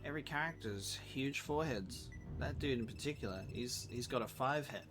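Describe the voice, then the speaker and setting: A man's voice: medium pitch, normal speed, background noise. A man chatting casually as he streams a game, often repeating and restarting his phrases. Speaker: livestreamer reacting to a game. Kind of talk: casual livestream chat and game commentary. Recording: music behind the voice. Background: video game music.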